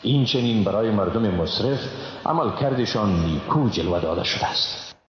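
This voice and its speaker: A man's voice: lowish voice, expressive. Male reader, Afghan Dari accent, formal scripture translation, long reverberant tail, slightly muffled sound. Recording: very echoey, reverberant, muffled, faint noise.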